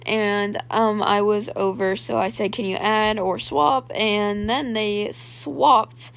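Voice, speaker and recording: A young woman narrates her gameplay in a loose, rambling voice-over, dropping "like" and "um" into most lines. Her voice is raspy with a gravelly, fry-tinged edge, sitting at an ordinary middle pitch for a woman. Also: fairly even intonation, slow. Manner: chatty, filler-heavy storytelling, full of "like" and "um". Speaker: gaming video creator. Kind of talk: casual voice-over commentary on gameplay.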